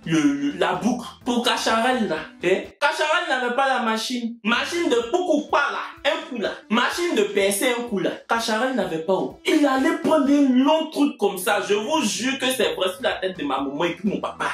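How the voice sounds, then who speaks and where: A man's voice: very high voice, normal speed, few pauses. A man with an Ivorian French accent tells a comic personal story to his viewers and acts out each person in it. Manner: lively, acts out every voice in the story. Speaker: video creator. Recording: roomy echo, faint music.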